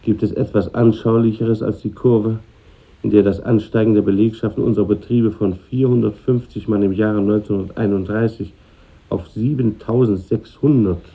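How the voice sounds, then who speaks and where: An actor playing a middle-aged factory boss, curt, formal and reserved, in a 1950s radio play. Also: male voice, fairly low pitch, fairly even intonation, moderate pace, dark tone, lightly acted. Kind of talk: 1950s radio drama acting. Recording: muffled old radio recording.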